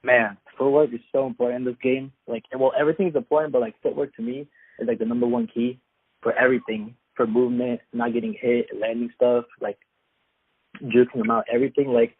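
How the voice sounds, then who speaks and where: A man's voice: medium pitch, steady tone, a little fast, no echo. A young man from Afghanistan, a guest fighter, answering casually with lots of fillers. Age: young adult. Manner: loose, filler-heavy, plain-spoken. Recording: husky, tinny phone-like line.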